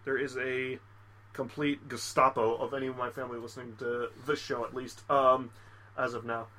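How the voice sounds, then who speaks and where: A man's voice: mid-range voice, level tone, normal speed, pushed and bright, poor audio. A young man co-hosting a podcast, telling rambling crude stories in casual chat, laughing and slipping into mock voices for quoted people. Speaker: podcast co-host.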